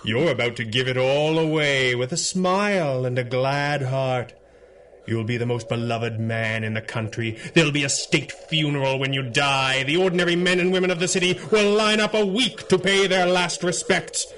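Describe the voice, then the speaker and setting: An actor playing an implacable masked hero with mind powers in a radio superhero drama, speaking gravely with deliberate menace. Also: male voice, on the high side, fairly bright tone, slight background noise, natural voice. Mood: cold, commanding. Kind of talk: 1930s-set radio superhero drama acting.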